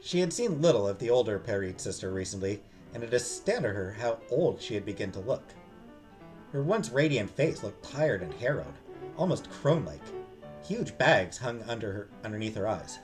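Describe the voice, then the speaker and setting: A man's voice: fairly low pitch, sing-song, normal speed, music in the background. A man streaming a game, reading the story aloud expressively and dropping into casual asides.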